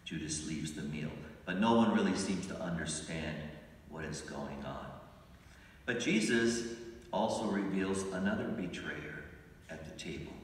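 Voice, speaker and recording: An older man, a priest giving a homily from the pulpit, speaking in measured, reflective phrases and slowing down for emphasis. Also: deep voice, animated. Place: large church sanctuary, at a pulpit. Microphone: pulpit mic, recorded by a phone from the pews. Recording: echoey church from far off.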